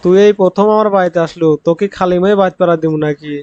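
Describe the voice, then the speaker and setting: A man's voice: sits high, rapid-fire, background noise, close to an everyday voice. A man voicing an eager, henpecked young village friend in a comic cartoon. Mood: surprised, welcoming. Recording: dull lo-fi audio, music underneath.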